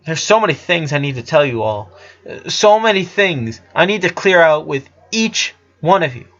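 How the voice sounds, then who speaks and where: A man voicing an earnest eleven-year-old boy in an audio story, guilt-ridden, gentle and pleading. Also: a little high, big pitch swings, normal speed, highs cut off. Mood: remorseful.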